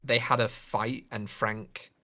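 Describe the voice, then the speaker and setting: A man co-hosting a podcast in casual chat, speaking in an informal, opinionated and animated way. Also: lowish voice, takes it slowly. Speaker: podcast co-host. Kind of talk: casual pop culture chat.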